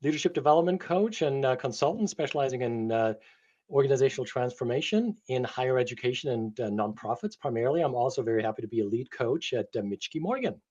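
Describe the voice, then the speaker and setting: A man's voice: medium pitch, animated, fast talker, a little mellow, dull audio. A man from Germany who works as a leadership coach, co-hosting an earnest, enthusiastic chat with some uh fillers. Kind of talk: casual conversational chat.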